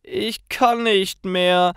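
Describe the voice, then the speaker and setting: A man's voice: sits high, fairly even intonation, very slow, a bit bright, clear, resonant tone, dry recording, studio quality, pitched above the performer's own voice, heavily acted. A man voicing an emphatic young woman on a cycling holiday in a storybook tale.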